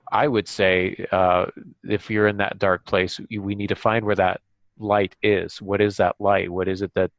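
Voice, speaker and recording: A man co-hosting a casual podcast discussion, speaking in a halting way as he thinks aloud. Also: lowish voice, no echo. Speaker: podcast co-host.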